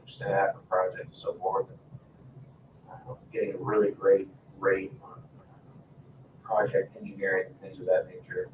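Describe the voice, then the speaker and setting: Man, town staffer at a meeting, halting and matter-of-fact; echoey, distant pickup. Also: on the low side, narrow, tinny audio. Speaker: town staff member presenting agenda items. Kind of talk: informal presentation at a council meeting. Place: indoor town council meeting room. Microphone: distant room microphone. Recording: echoey meeting room, distant mic, faint noise.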